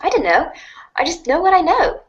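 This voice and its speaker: A woman's voice: extremely high pitch, very animated, moderate pace, highs cut off, voice pushed up. A woman playing a cheerful, quirky teenage girl with a bubbly, teasing, emphatic way of talking in a fan audio drama. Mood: earnest, upbeat.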